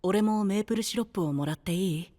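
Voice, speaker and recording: A man's voice: sits high, fast, no echo, clean studio sound, lightly acted. Man playing a mild, caring young twin. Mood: gentle. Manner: gentle, polite, casual. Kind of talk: Japanese anime-style voice drama.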